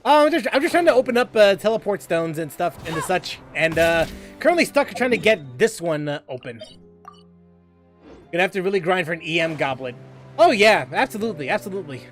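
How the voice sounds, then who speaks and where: Male game streamer, high and bouncy voice, chatty with chat, light music under him. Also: big pitch swings, fairly quick. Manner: thinks aloud while playing and answers chat questions. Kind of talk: live gameplay commentary.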